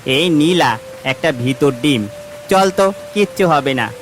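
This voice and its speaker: A man voicing a bold, excitable village boy in a cartoon, with a brash boyish manner. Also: a little high, measured pace, soft background music. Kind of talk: cartoon voice acting.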